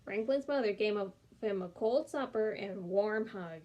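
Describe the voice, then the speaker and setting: A young woman reading a picture book aloud, gentle and expressive. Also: mid-range voice, moderate pace. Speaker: storyteller.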